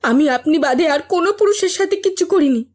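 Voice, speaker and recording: An actress playing a young, wronged village maid, pleading yet defiant, in an audio horror drama. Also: female voice, very high voice, expressive, a little fast, darkish tone. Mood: indignant, defiant. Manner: indignant, tearful pleading.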